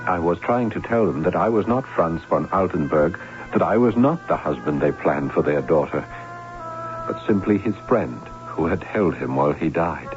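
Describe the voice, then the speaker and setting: Deep-voiced sorrowful young nobleman. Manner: solemn, formal, literary. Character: sorrowful young nobleman, guilt-torn impostor recounting his doomed love. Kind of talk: old-time radio drama acting, first-person narration. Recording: dull vintage radio recording, music behind.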